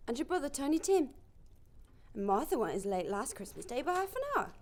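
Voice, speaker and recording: A woman's voice: sits high, sing-song, fairly quick, darkish tone. A woman playing a fond, fussing working-class Victorian mother in an audio play, exclaiming in a motherly way.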